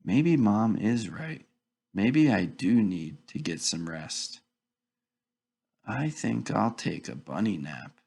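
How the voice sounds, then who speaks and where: Wry, tired animal sidekick for a picture book, read by a man, grumbly and gravelly. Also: on the low side, big pitch swings, slow pace, a little mellow, full character voice. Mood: resigned, wry.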